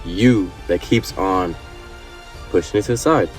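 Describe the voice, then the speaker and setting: A man's voice: sits low, a little slow, faint music behind. Earnest young man urging viewers on. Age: 20s. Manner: earnest, repetitive urging, swears casually. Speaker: vlogger. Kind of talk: motivational casual talk to camera.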